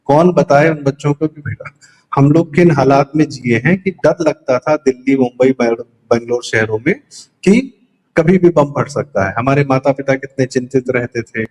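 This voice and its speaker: A man's voice: mid-range voice, moderate pace, darkish tone, poor audio. A man giving opinionated political commentary in a conversational, explanatory way, emphatic and mixing in English words. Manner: emphatic, poses rhetorical questions and answers them; mixes English words into speech.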